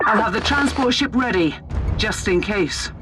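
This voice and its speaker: An actor playing a concerned, dutiful ship officer in a video game, reporting urgently and formally. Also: male voice, high-pitched, animated, moderate pace, music in the background.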